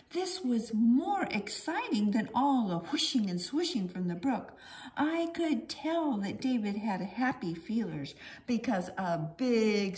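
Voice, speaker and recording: A high-voiced woman reads a children's story at an unhurried pace. Her pitch swings widely, with theatrical, sing-song emphasis on key words. She narrates expressively, as if to small children. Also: darkish tone.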